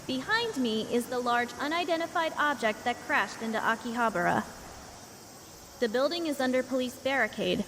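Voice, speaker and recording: A woman's voice: on the high side, expressive, normal speed, a bit bright. A woman playing a composed field news reporter in an anime fan dub, giving a scripted on-scene report with faint noise behind her. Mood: formal.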